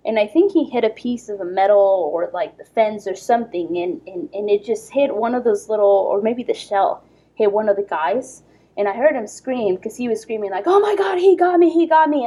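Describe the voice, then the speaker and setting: A woman in her thirties from Ecuador, a podcast guest telling a story in casual chat, lively and full of fillers. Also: mid-range voice, husky, poor audio. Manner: lively, animated retelling with many fillers.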